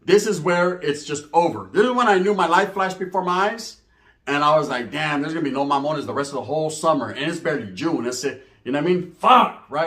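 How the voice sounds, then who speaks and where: A man's voice: on the high side, a bit bright, roomy sound. A man telling a raunchy comic story casually, full of slang and swearing and acting out the dialogue. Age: adult, parent. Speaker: comedic storyteller.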